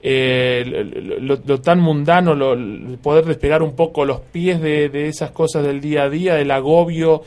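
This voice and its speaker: A man's voice: a little high, fairly even intonation, moderate pace, few pauses, a little mellow. A man with an Argentine accent hosting a radio interview, asking long, rambling questions full of restarts. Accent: Argentine Spanish. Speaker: radio host.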